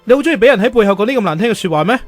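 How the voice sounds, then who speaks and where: A man's voice: high-pitched, lively intonation, racing, dry recording, soft background music. A man acting in a Cantonese radio drama as a stern, jealous teacher of thirty-one with a Hong Kong accent. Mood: exasperated. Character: stern, jealous thirty-one-year-old male teacher, worried fiancé.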